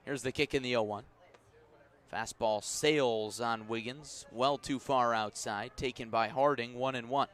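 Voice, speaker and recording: Male American radio play-by-play voice, medium pitch, measured baseball narration that can lift for big plays, broadcasting from a ballpark. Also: fairly even intonation, fairly bright tone. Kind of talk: live sports commentary.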